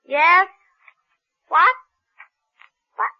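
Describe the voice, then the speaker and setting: High-voiced, animated femme fatale. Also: female voice, very slow, full character voice. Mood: breezy, dismissive. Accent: American. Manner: breezy, coaxing, dismissive. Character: scheming, callous femme fatale, flippant, coaxing woman. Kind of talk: 1940s-50s American radio drama acting. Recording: muffled vintage radio broadcast.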